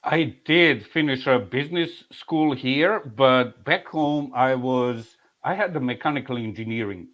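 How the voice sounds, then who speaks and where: Serbian-accented man, muffled lo-fi sound. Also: mid-range voice. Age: 40s. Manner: halting, with many restarts and soft laughs. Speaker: insurance claims manager. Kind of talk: casual interview answers. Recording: muffled, lo-fi audio.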